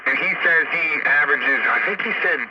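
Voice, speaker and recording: A man's voice: a little high, moderate pace. An American man chatting casually as an amateur radio operator over a radio link. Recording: dull, very noisy radio transmission. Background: heavy radio noise.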